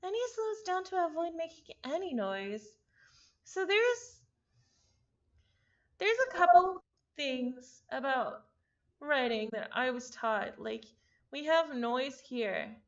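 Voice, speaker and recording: High voiced girl streamer reading a visual novel, chatty and expressive, kinda lo-fi mic. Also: takes it slowly, pauses often. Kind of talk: expressive narration with casual asides.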